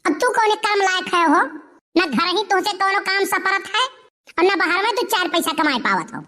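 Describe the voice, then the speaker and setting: A woman voicing a sharp-tongued, nagging village wife in an Awadhi comedy cartoon, with an Awadhi accent. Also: very high-pitched, expressive, normal speed, full character voice. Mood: angry scolding. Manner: nagging, complaining.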